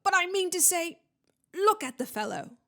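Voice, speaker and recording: A woman's voice: extremely high pitch, sing-song, normal speed, gravelly, bright, forceful tone, voice pushed up, heavily acted. A woman voicing a large bearded male ghost, hearty and mocking, roaring with blustering joviality in a storybook.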